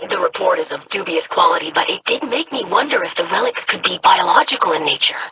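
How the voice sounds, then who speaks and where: Studious young alien scholar. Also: female voice, fairly low pitch, expressive, sharp tone. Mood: scholarly. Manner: measured, studious. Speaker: researcher.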